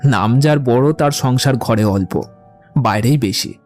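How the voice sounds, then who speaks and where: An actor playing a witty, poetry-loving young romantic suitor in an audio drama, with eloquent, theatrical delivery. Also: male voice, medium pitch, a little slow, darkish tone, soft background music, natural voice. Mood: teasing, witty. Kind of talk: Bengali audio drama acting.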